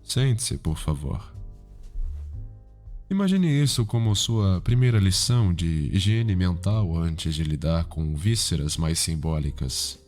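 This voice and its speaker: A man with a Brazilian accent playing a calm, cultured, sinister psychiatrist in an ASMR roleplay, speaking in a formal, measured, softly probing way. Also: low voice. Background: background music.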